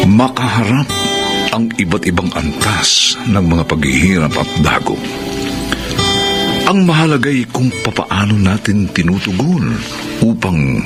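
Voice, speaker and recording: Malalim at mabagal na lalaking tagapagsalaysay. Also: male voice, low-pitched. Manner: solemn, deliberate. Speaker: narrator and co-director. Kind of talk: dramatic radio drama narration and credits. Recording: radio broadcast under loud music.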